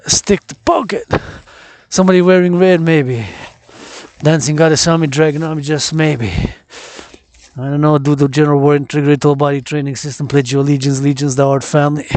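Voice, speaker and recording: Quebec guy vlogging on a mountain walk, theatrical, kinda high voice. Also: fairly even intonation, moderate pace, darkish tone, no echo. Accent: Quebec French. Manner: declamatory and theatrical, repeats phrases in both languages. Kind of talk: walking vlog, mixing English and French. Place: outdoors on a wooded mountain path.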